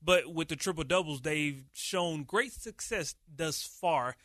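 A man co-hosting a casual sports podcast, talking with animated energy and frequent restarts. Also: on the high side, a little slow, no echo, clean studio sound. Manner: animated, hype-filled delivery with many restarts. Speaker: podcast co-host, radio producer.

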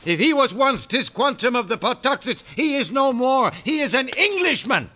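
An actor playing a hostile, suspicious warrior leader in a radio drama, threatening and accusing. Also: male voice, very high voice, big pitch swings, normal speed, pushed and bright, exaggerated voice. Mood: scornful, accusing.

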